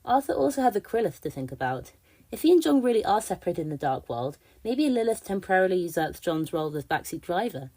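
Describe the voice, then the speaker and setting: A woman's voice: on the low side, big pitch swings, quick pace, dark tone, rough recording. A woman delivering prepared fan commentary as a podcast contributor.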